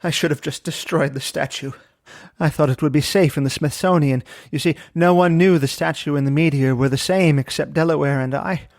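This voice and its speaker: A man's voice: a little high, a little fast, no room sound, clean studio sound, natural voice. An actor playing a haunted, remorseful man confessing a secret in an old-time radio melodrama. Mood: dying, remorseful. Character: wounded, remorseful male scientist confessing a dangerous secret.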